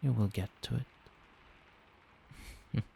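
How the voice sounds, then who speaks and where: A man as a low, soft-spoken doting vampire boyfriend. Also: slow pace, gravelly, slight background noise, heavily acted. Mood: gentle concern. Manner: tender, reassuring, coaxing. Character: doting, gentle male vampire boyfriend soothing partner to sleep. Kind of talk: soft-spoken ASMR roleplay.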